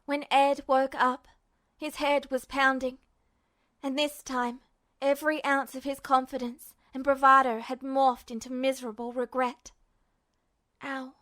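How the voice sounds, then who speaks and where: High, bright-voiced woman narrating fiction. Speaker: podfic narrator. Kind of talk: dramatic scripted fiction narration.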